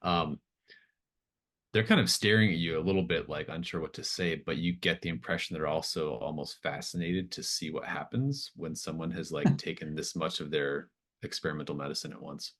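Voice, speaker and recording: A man's voice: extremely low pitch, fairly quick, fairly bright tone. A man narrating as game master of a tabletop roleplaying game, improvising in a conversational, hesitant way as he thinks aloud.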